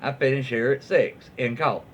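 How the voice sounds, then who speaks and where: A man hosting and reading internet stories aloud in a folksy way, with dramatic pauses, emphasis and his own asides. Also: medium pitch, fairly bright tone, slight background noise. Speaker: online story reader and host.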